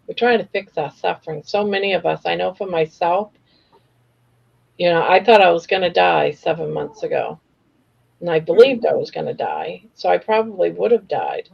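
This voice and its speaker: Creaky-voiced older woman, slightly muffled. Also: lowish voice, lively intonation, moderate pace. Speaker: host of a live crochet chat panel. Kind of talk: casual personal chat. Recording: slightly dull, lo-fi livestream audio.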